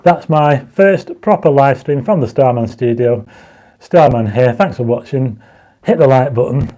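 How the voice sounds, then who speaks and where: A man hosting a casual livestream, reading out viewer comments and pushing for likes, his mouth dry and his voice going croaky. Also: medium pitch, unhurried, warm tone. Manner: reads viewer comments aloud, urges likes; enthusiastic when explaining stars. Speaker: livestream host. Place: home astronomy studio.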